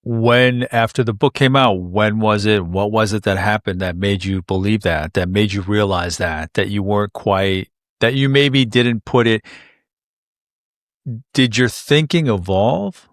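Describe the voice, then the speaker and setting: A man's voice: lowish voice, unhurried, no echo, clean studio sound. A male podcast host interviewing a guest, asking long questions that he keeps rephrasing.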